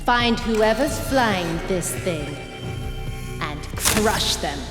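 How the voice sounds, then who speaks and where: A woman's voice: mid-range voice, lively intonation, drawn-out words, roomy sound, big-room sound, music in the background. A woman playing a cold, ruthless villain commander in a video game, imperious and menacing. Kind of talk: video game cutscene acting.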